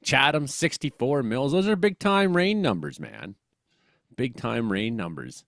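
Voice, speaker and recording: Male, Western Canadian accent, very lively pitch swings, dry close sound, slangy rambling weather commentary, joking host. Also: measured pace, gravelly, no echo. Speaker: host of a comedy weather show.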